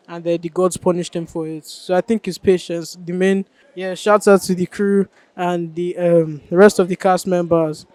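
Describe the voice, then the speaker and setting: A man's voice: high voice, a little mellow, clean tone, dry recording. A young man with a Nigerian accent explaining his acting role in an interview. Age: teenager or young adult. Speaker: student actor in a school play.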